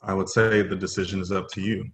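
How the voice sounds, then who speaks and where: A man playing a stern, formal middle-aged company executive in a play reading, halting and stumbling over his phrases. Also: low-pitched, level tone. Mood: formal, explaining. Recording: roomy echoing voice.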